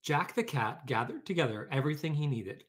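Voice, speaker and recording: A man's voice: fairly high pitch, big pitch swings, fairly quick, slightly rough. A man hosting a read-aloud for children, talking informally and explaining things, or reading a storybook. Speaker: educator, read-aloud host.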